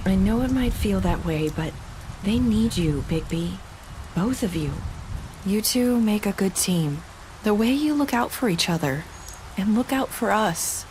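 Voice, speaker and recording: An actress playing a weary, sorrowful young woman in a video game drama, speaking in a halting, earnest, quietly intense way. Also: mid-range voice, natural voice, rough recording. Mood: earnest, reassuring. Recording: steady rain noise behind the voice.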